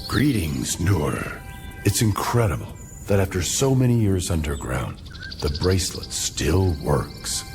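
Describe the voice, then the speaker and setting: An actor playing a grave, courteous male traveler and healer in a video game, speaking in formal, measured phrases. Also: sits low, sing-song, music in the background, full character voice. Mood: focused, formal. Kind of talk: video game cutscene acting.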